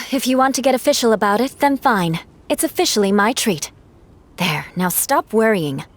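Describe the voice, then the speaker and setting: A woman voicing a proud, formal, brisk commanding officer in a video game. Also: fairly high pitch, expressive, slight background noise, natural voice. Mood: exasperated.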